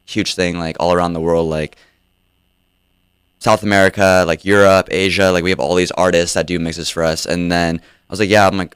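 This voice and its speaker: A man's voice: sits low, a bit bright, smooth, dry recording, studio quality. A young man guesting on a radio show, talking about DJing in a laid-back way, full of "like" fillers. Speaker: DJ and podcast host guest. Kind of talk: casual interview answers.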